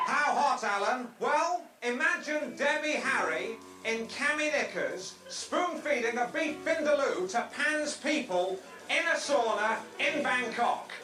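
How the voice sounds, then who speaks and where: A man's voice: very high-pitched, bright, forceful tone, heavily acted. A man playing a smarmy, jokey television chat show host with showy patter, heard as if from a television. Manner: showy, innuendo-laden patter. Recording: echoey, distant, as from a television.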